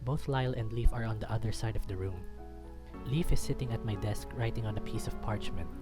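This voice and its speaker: A man's voice: fairly low pitch, a little fast, music in the background. A man reading a visual novel aloud as dramatic first-person story narration.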